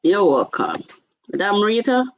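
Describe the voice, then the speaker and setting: A woman's voice: mid-range voice, big pitch swings, unhurried, no echo, dull audio. Upbeat woman hosting a dry-sounding call. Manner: upbeat, welcoming. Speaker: host and mentor. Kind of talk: hosting an online panel. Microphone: video call.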